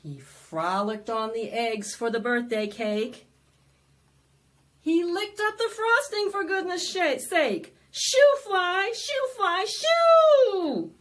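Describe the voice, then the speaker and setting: A woman presenting storytime for young children, singing and reading aloud in an animated, sing-song way that coaxes them to join in. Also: extremely high pitch, takes it slowly. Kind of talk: interactive preschool storytime, singing and read-aloud.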